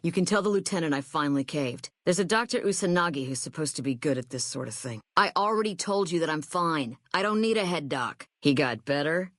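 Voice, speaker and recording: A woman's voice: low voice, animated, fairly quick, hardly any pauses, no echo, studio quality. A woman voicing a brash, hard-bitten female army sniper corporal in a video game, with a blunt, sardonic, tough delivery. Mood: grudging, resigned.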